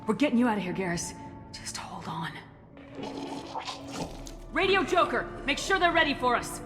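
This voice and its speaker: An actress playing a tough, loyal military commander in a video game, speaking firmly and directly. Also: female voice, on the high side, sing-song, moderate pace, music in the background. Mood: urgent. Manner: firm, direct. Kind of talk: video game dramatic acting.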